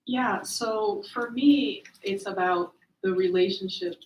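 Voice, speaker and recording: A woman's voice: on the high side, slow, slight background noise. A woman writer whose first language is Portuguese, originally from Brazil, answering thoughtfully on a panel with many fillers and restarts. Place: event room at a literary gathering. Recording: roomy, distant, dull-sounding mic.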